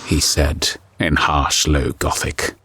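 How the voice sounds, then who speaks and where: A man narrating a dark science fiction story in a scripted, dramatic, measured and ominous style. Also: rumbling low, steady tone, breathy edge, no room sound. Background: music.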